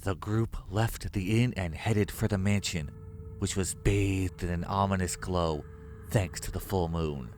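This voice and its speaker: A man's voice: low-pitched, steady tone, measured pace, a little mellow, a little hiss or hum. A man reading scripted narration for a horror story.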